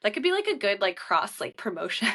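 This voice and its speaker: A woman's voice: mid-range voice, very animated, fairly quick, bright, forceful tone. A young woman hosting a podcast in casual chat, bubbly and informal, peppering her talk with "like". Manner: bubbly, informal, heavy "like" filler. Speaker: podcast host, interviewer.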